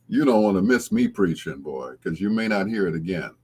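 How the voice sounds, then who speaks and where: A man hosting a late-night music radio show, chatting casually and informally to his live listeners in a husky voice. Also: deep voice, big pitch swings, normal speed, darkish tone. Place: broadcasting on radio and live video stream.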